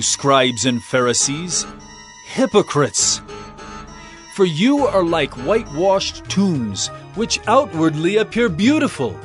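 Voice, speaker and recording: Male voice, stern prophet, declamatory, fairly high, widely swinging pitch, audio drama, music behind. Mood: scathing, stern. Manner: declamatory, solemn, emphatic. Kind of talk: dramatized scripture reading.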